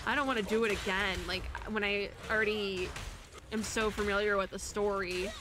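A woman's voice: mid-range voice, steady tone, moderate pace, noisy background. A young woman casually talking through a video game, chatty and rambling, with a stuffy nose. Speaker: streamer. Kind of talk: casual gameplay commentary.

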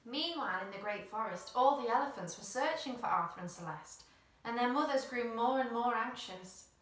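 A woman's voice: fairly high pitch, lively intonation, normal speed, a bit bright. A woman, reading a children's picture book expressively in a small room. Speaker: storyteller. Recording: roomy, slightly distant mic.

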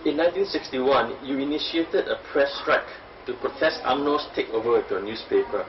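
Male interviewer, off-mic and muffled, calm formal questions. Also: mid-range voice, normal speed. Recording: distant, dull, with faint hiss.

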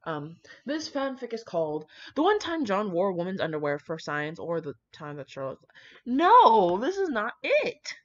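A woman's voice: medium pitch, huge pitch swings, normal speed. A woman rambling casually with dramatic asides, brash, slangy and full of swearing as she comments on fan fiction. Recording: dull lo-fi microphone.

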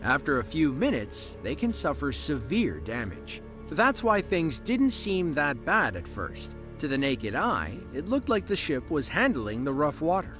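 A man narrating a scripted documentary in a lively storytelling way with dry asides. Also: a little high, unhurried, hardly any pauses. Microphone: voice-over, studio mic. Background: soft background music.

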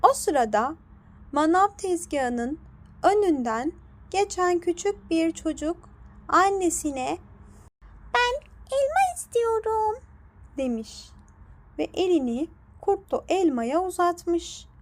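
A woman narrating a scripted bedtime story in a deliberate manner for young children. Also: very high-pitched, expressive.

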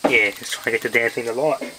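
Noisy camera mic, aussie guy muttering thru a hands on demo, casual, normal pitched voice. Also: fairly even intonation, quick pace. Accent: Australian. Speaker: hobbyist video maker. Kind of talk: casual hands-on demonstration, talking while working. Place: indoors at home, working by hand. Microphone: handheld camera microphone. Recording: noisy handheld camera audio with clicks.

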